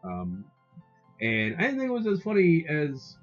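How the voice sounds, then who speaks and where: A man around thirty chatting casually as a streamer, in a halting, rambling, loosely profane way. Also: fairly high pitch, huge pitch swings. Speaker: game streamer. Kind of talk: casual chat. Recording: dull lo-fi sound, music behind. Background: music.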